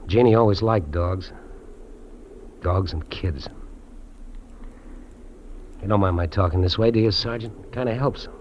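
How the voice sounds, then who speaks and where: An actor playing a lonely, lovestruck fugitive trapper, earnest and confiding, in 1950s radio drama style. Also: male voice, low-pitched, unhurried, long pauses. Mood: wistful, tender. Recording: dull, noisy old radio recording.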